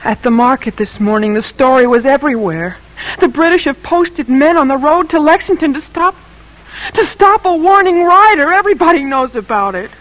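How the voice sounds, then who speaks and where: An actress playing an anxious young daughter in colonial America, pleading and emotional in old-time radio drama style. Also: female voice, high voice, expressive, normal speed, fairly bright tone, low-quality recording.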